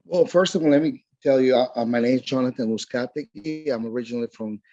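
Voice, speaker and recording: A man from Venezuela with a Venezuelan Spanish accent, a Spanish native speaker, answering as a council candidate on a radio interview, earnest and defensive with halting phrasing. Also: mid-range voice, mellow, no room sound, muffled recording, soft background music.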